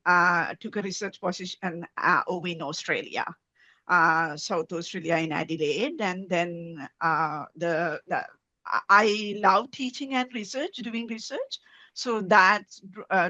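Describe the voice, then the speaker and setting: A woman with a Sri Lankan accent answering as an interview guest, halting and repeating words as she goes. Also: fairly low pitch, pushed and bright, no echo. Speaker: guest, soil science researcher. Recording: dull, lo-fi sound.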